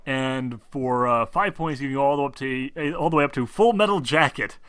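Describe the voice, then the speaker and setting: A man's voice: mid-range voice, brisk, fairly bright tone, smooth, dry recording. A man acting as game master, explaining options casually with sweary, exasperated asides to his players.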